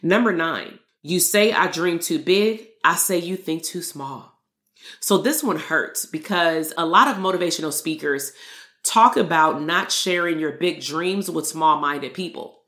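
A woman's voice: lowish voice, measured pace, reverberant. A woman hosting a solo motivational podcast as a therapist coach, talking earnestly and repeating key lines for emphasis. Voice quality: loud voice.

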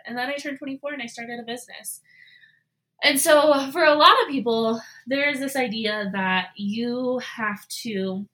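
A woman in her twenties hosting a solo podcast, talking casually with lots of fillers and animated asides. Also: on the high side, rough recording. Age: 20s. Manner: chatty, frequent "like" and "you know" fillers; animated, voices her own past thoughts. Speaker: podcast host.

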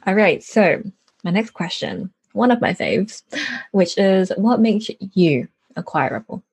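A woman's voice: mid-range voice, expressive, measured pace, creaky, a little mellow, slightly rough. A woman hosting a podcast interview in a chatty, informal and giggly way. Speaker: podcast host. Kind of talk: casual conversational interview.

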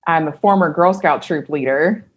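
A woman entrepreneur from Kentucky, a guest on a podcast, who speaks in a fairly low voice. She thinks aloud as she answers and often pauses on "um" and restarts her sentences. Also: breathy edge, muffled recording. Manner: reflective, thinks aloud with fillers. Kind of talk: casual interview talk.

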